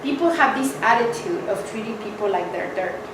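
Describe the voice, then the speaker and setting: A woman from Mexico, a guest speaker, telling a personal story to an audience in a candid, animated way. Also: a little high, a little fast. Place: event hall with audience. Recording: echoey hall, mic from far off, noisy.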